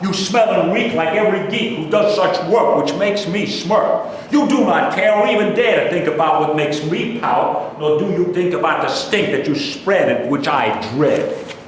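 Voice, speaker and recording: A man's voice: high voice, measured pace. A man in a staged play reading, playing a bitter, contemptuous, self-justifying man who grumbles and declaims theatrically. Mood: angry, scornful. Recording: distant, very echoey hall, faint noise.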